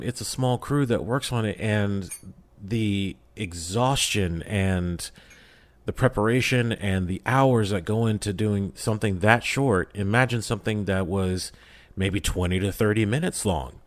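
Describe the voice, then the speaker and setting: A man's voice: on the low side, unhurried. A man speaking as a voice actor guest on a podcast, casual and conversational, earnest and emphatic in how he makes his points. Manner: emphatic, earnest, acts out imagined quotes. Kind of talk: casual interview chat.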